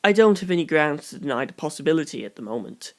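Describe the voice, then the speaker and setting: A calm young detective as acted by an audiobook narrator lays out grim theories in formal language. The delivery is deliberate and analytical, at a medium pitch. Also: close to an everyday voice. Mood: calm, puzzled. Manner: measured, formal, deliberate phrasing.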